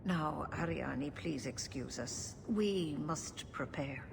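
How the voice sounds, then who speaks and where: Elven keeper for a fantasy game: low, measured, solemn teacher. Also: female voice, vocal fry, heavily acted. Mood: urgent, stern. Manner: formal, measured, grave. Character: grave, wise female elven keeper, a mystic teacher. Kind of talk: video game voice acting. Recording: noisy game ambience behind.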